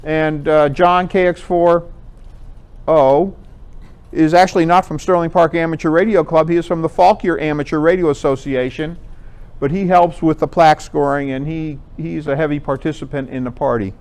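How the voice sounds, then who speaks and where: An American man giving an informal presentation, explaining things conversationally and pausing to rephrase. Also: a little high, steady tone, a little mellow, clear, resonant tone. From Connecticut, United States. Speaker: amateur radio contest organizer, presenter. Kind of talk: informal club presentation with slides.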